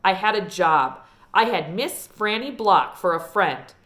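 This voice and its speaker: A woman reading a children's novel aloud in an expressive storytelling style. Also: medium pitch, unhurried. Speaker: read-aloud reader.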